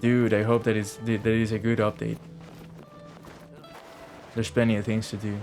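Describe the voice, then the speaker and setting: A man's voice: on the low side, completely flat delivery, moderate pace, darkish tone. A young man doing casual livestream commentary over a video game, rambling and thinking aloud. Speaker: game streamer. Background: game music.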